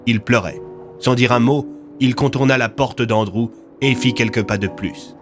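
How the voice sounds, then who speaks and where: A man narrates an audio drama in a scripted, dramatic style. His voice sits at a medium pitch, with music clearly playing behind him. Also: moderate pace. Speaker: narrator of an audio fiction series.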